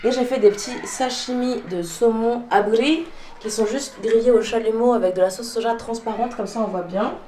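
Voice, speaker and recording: A woman's voice: on the high side, steady tone, a little fast, dark tone, slight background noise. A woman in her thirties from France, chatting casually into her camera as a vlogger and chef, with lots of fillers and laughs. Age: 30s. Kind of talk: casual vlog chat.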